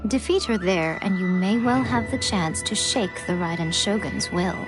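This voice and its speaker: An actress playing a confident, mysterious priestess mentor in a video game, speaking with composed, slightly playful assurance. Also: female voice, mid-range voice, normal speed, over music, lightly acted. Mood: composed, serious. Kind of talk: scripted video game voice acting.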